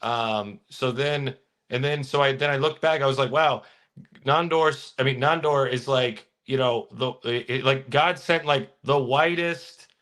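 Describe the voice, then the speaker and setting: A man's voice: mid-range voice, unhurried, fairly bright tone. Rambling man who laughs a lot. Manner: rambling, laughing between phrases. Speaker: comic book artist guest. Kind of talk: casual interview chat.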